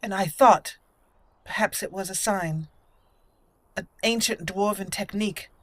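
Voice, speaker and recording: A woman voicing a thoughtful female elf mage, telling her story in character with deliberate phrasing. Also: mid-range voice, natural voice. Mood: reflective, solemn.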